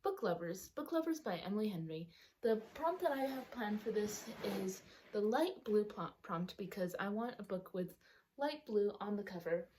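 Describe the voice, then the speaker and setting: A woman's voice: mid-range voice, expressive, normal speed, a little mellow. A young woman talks casually to camera about her books, rambling and stopping to correct herself. A retainer leaves her speech a little thick. A faint hiss and small clicks sit under the recording. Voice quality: slightly altered by a retainer. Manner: rambling, self-correcting, with many ums. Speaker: book vlogger. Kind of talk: casual chatty vlog. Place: outdoors or by a sunny window at home.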